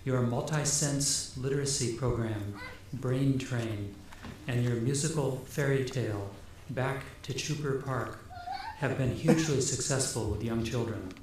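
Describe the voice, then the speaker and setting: A man's voice: mid-range voice, measured pace, hardly any pauses. Male, formal ceremonial reader of an award citation, heavy room echo, faint background noise. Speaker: provost reading an award citation. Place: large ceremony hall. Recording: very echoey hall, faint noise.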